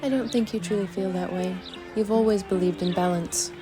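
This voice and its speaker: A woman's voice: mid-range voice, fairly even intonation, fairly quick, natural voice. Female adventurer amateur voice actor: composed, supportive, natural mid register, game music underneath. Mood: reassuring. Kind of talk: amateur voice-over of game dialogue. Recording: loud game music behind.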